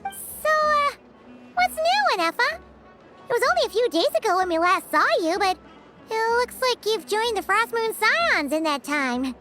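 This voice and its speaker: A tiny squeaky fairy sidekick in a game, nosy and perky. The pitch is extremely high and bouncy, with music clearly behind it. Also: female voice, very animated, a little slow, sharp tone, full character voice. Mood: perky, curious. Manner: squeaky, perky, refers to herself by name. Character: tiny, chirpy, nosy female floating fairy companion. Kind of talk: video game voice acting.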